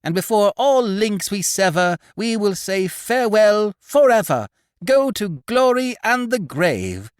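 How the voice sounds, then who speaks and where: A man playing a high-voiced dramatic young woman. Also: sing-song, measured pace, smooth, dry recording, clean studio sound. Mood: solemn. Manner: declaiming, theatrical.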